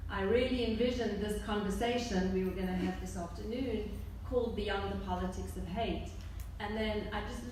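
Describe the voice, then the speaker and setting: A woman's voice: medium pitch, darkish tone. A woman with a South African accent moderating a live panel discussion, earnest and thoughtful, with frequent fillers. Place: large museum hall. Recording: echoey hall from far off, faint noise.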